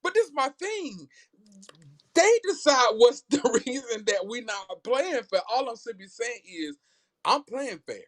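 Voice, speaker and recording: A man's voice: very high-pitched, sing-song, unhurried, a bit bright. A man in his thirties speaking emphatically in a heated casual discussion, repeating words as he starts his points. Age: 30s. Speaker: discussion panelist.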